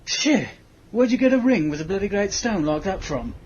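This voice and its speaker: A man's voice: high-pitched, sing-song, normal speed, a little mellow, breathy edge, dull audio, full character voice. An actor playing a coarse, greedy male street thug, brash and crude, in a science fiction audio drama. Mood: greedy, aggressive.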